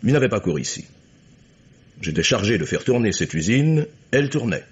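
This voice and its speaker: An actor playing a proud, guarded chief engineer in a radio mystery, speaking formally and in measured, clipped statements. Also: male voice, fairly low pitch, very animated, husky, heavily acted. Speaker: actor in a radio crime drama. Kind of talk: French radio mystery acting. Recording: muffled old radio recording.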